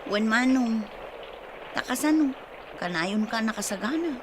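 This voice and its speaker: Nagging Filipino village mother, high exasperated voice, radio comedy drama, noisy background. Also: expressive, a little slow. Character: scolding, worried village mother and nagging wife.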